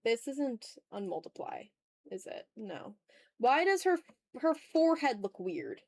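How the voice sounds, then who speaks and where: A woman with a fairly high voice, recorded very dry and close. She chats informally like a livestream host absorbed in drawing, muttering self-critically to herself and to her viewers. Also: sing-song, a little slow, creaky, a little mellow, no echo. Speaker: streamer hosting an art livestream. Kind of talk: casual chat while drawing.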